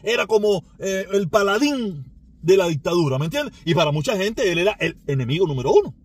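A man's voice: high voice, sing-song, brisk, breathy edge, no room sound. A man from Cuba with a Cuban Spanish accent, giving a rambling, emphatic opinion monologue that circles back and repeats itself. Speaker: commentator.

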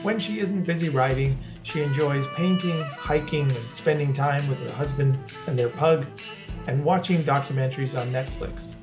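A man's voice: mid-range voice, moderate pace, over music. A man from Canada hosting a podcast, talking casually and hesitantly with many fillers. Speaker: podcast host. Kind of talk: casual interview chat.

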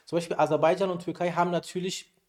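A man's voice: high voice, flat delivery. A man who grew up in Berlin, a law graduate as podcast guest, explaining in casual chat with many fillers. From Berlin, Germany. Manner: quick, hesitant with many fillers. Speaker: guest lawyer.

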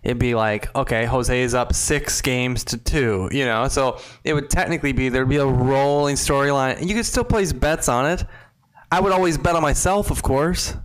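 A man's voice: mid-range voice, normal speed, rough recording. A man co-hosting a podcast in casual chat, rambling and joking, reading out the chat. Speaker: podcast co-host.

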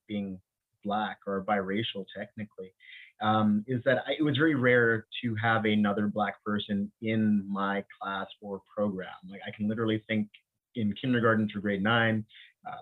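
Low-voiced man, expert guest on a phone line. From Bahamas. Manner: halting, many restarts and repeated words; laughs often while talking. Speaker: infectious disease physician and microbiologist. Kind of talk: interview guest, conversational.

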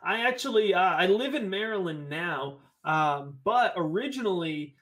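A talkative man from Connecticut chats as a podcast guest, his voice sitting a little high. He rambles eagerly, circling back and restating his points. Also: animated, moderate pace, fairly bright tone. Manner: enthusiastic, rambling, repeats phrases. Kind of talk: casual podcast chat about fantasy football.

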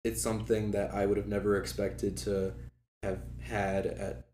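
A man in his early twenties speaks casually as an interview guest. His voice is fairly low and keeps to a very even pitch. He is chatty and conversational, recorded in an echoey room. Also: measured pace. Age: early 20s. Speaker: guest, former student club officer. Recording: echoey room with faint background noise.

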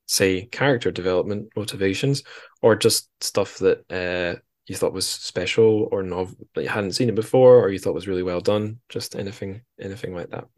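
Deep-voiced man hosting a casual podcast. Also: normal speed, a little mellow. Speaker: podcast host, interviewer. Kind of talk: casual conversational interviewing.

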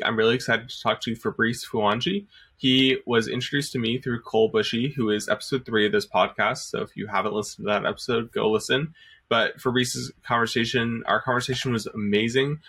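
A man's voice: medium pitch, bright, forceful tone, breathy edge. A man in his twenties hosting a podcast, friendly and enthusiastic, with frequent fillers. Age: 20s. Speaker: podcast host.

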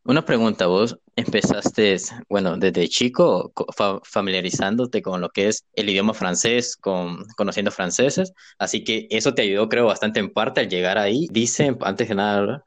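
A man's voice: lowish voice, normal speed, few pauses. A man hosting a casual podcast interview. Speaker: podcast host. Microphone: remote recording from home.

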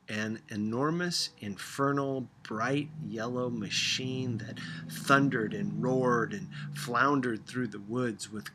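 A man reading a literary story aloud as an audiobook narrator, expressive and dramatic in his delivery. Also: medium pitch, a little hiss or hum. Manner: dramatic, acts out dialogue. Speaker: audiobook reader.

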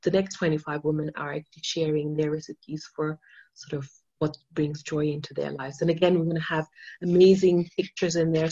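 A woman's voice: low voice, darkish tone, lo-fi sound. Austrian-born woman telling her story. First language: not English. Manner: enthusiastic storytelling with many restarts and fillers. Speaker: author, interview guest. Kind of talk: casual interview talk.

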